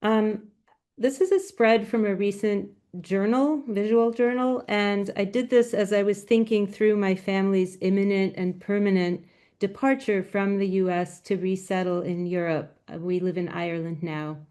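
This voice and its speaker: A soft-spoken woman gives a presentation as a guest speaker. Her voice is quiet but smooth and clear, at a middle pitch for a woman. It carries the slight thinness of a video call. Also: steady tone, normal speed. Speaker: guest speaker, graphic novelist.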